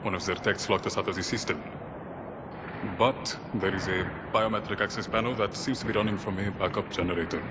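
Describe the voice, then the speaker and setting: An actor playing a tough mercenary soldier in a video game, speaking in clipped, forceful military style. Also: male voice, sits low, fairly even intonation, a little fast, lightly acted. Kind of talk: dramatic video game acting. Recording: very loud battlefield noise, gunfire.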